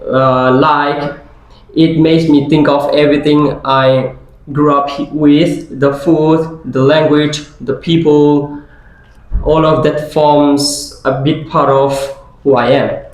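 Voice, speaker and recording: A man in his twenties from Brazil, a Portuguese speaker being interviewed about his identity, talking slowly and thoughtfully. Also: fairly high pitch, little pitch movement. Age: 20s. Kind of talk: scripted interview role-play. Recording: very echoey room.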